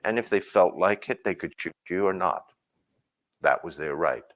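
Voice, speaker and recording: A man from Burma telling his personal story in a reflective way, pausing and restarting as he talks. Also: sits low, fairly bright tone. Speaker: speaker sharing his story.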